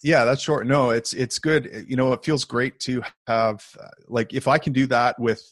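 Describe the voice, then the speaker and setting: A man's voice: mid-range voice, steady tone, moderate pace, no echo, studio quality. A man co-hosting a podcast, chatting casually in an informal, joking way with some salty language. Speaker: podcast co-host. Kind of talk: casual conversational podcast chat.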